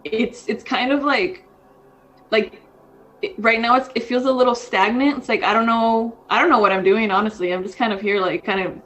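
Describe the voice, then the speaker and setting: A woman's voice: a little high, normal speed, a bit bright, low-quality recording. A woman in her thirties, co-hosting a podcast in casual chat, rambling with lots of fillers. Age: 30s. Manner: rambling, frequent fillers, says dude. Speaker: podcast co-host.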